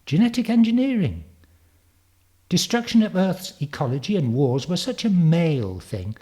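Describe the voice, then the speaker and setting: A man voicing a confident, precocious ten-year-old girl from the future in a storybook, brisk and matter-of-fact. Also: high-pitched, sing-song, mellow, voice pushed up. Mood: brisk, assured. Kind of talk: storybook character reading.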